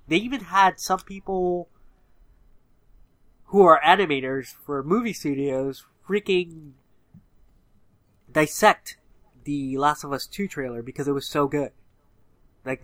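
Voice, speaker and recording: A man's voice: fairly high pitch, fairly bright tone, no room sound. A man with a slightly nasal, stuffy-nosed voice, chatting casually about video games as a podcast co-host, rambling with lots of fillers. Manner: rambling, filler-heavy, enthusiastic. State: stuffy nose. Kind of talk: casual gaming chat.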